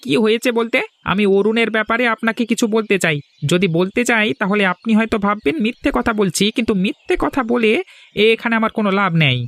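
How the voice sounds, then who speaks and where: A man's voice: high voice, quick pace, a bit bright, no echo. A man voicing a sly, sycophantic farmhand in a cartoon, ingratiating and insistent as he tells tales. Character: sly, lying male farmhand, sycophantic tattletale. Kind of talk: cartoon dialogue.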